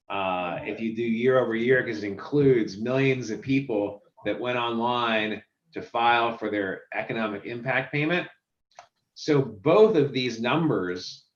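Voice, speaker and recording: A man who runs a software company, explaining filing statistics in a casual video call chat. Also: mid-range voice, roomy sound, lo-fi sound. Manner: explanatory, walks through statistics.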